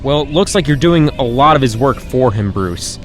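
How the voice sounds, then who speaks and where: A man acts a young crime-fighter in a superhero radio play. He is outspoken and challenging, with a mid-pitched, very animated delivery over faint music. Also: moderate pace, lightly acted. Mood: accusing. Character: challenging, outspoken young male hero, confronting his mentor. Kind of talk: amateur superhero radio drama acting.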